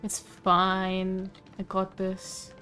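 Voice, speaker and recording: A woman casually commentating as she streams a game, talking to herself in a relaxed way with mild swearing. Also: mid-range voice, very slow. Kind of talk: casual live gameplay commentary. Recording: game music loud behind voice. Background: video game music.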